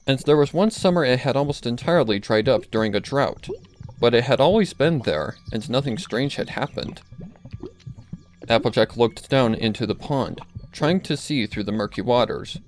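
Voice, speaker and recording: A man narrates a written story in the manner of a home audiobook. His pitch sits in the middle of the male range. A faint noise runs under the recording. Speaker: narrator, fan fiction reader.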